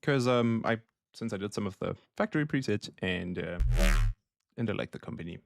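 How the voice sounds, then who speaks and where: A man's voice: fairly low pitch, animated, fairly quick, vocal fry. A man from Germany thinking aloud in a slangy, casual way as he demos a music plugin on a livestream, like a producer at work. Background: synthesizer sounds and music.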